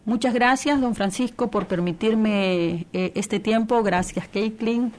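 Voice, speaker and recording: A woman's voice: mid-range voice, slow. A woman from Peru, a church radio coordinator, giving an earnest interview testimony with many restarts and fillers.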